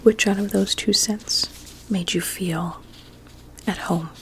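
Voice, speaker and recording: A woman playing a sweetly coaxing, sinister doctor in an ASMR roleplay, with a deliberate, soothing and formal manner. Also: mid-range voice, steady tone, a little hiss or hum. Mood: soft, coaxing. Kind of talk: whispered ASMR medical roleplay.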